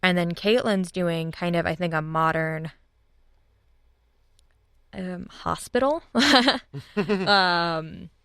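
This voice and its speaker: A woman with a smooth, slightly low voice talks in an informal, enthusiastic way, as a guest in a casual interview. The sound is dry and close, with a clean, clear tone. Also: extremely slow, long pauses, fairly bright tone, no echo. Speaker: guest, audio drama writers' room leader.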